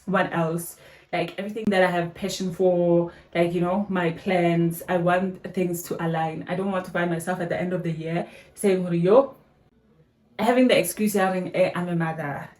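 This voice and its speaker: A woman's voice: lowish voice, fairly even intonation, normal speed, darkish tone. Chatty woman vlogging in an echoey bathroom. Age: 30s. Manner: chatty, addresses viewers as "guys", slangy "yo". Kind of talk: casual vlog chat. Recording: echoey bathroom, faint music behind.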